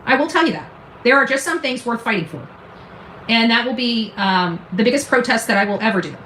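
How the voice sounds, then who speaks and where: High-voiced, blunt woman in an echoey room. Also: expressive, a little fast, a bit bright, slight background noise. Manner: blunt, defiant, combative, salty slang. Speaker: YouTube true-crime commentary host. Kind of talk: opinionated live commentary, informal ranting. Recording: echoey, roomy sound.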